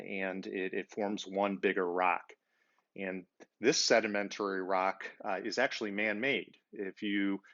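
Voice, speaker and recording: A man's voice: lowish voice, fairly bright tone, dull audio. A middle-aged man hosting a children's story time, explaining things informally and directly to young pupils. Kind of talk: informal educational talk to children.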